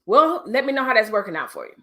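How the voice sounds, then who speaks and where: A woman's voice: fairly high pitch, wildly swooping pitch, fast talker, fairly bright tone. A middle-aged woman hosting a livestream, talking casually and directly to her viewers with preachy asides. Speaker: livestream host.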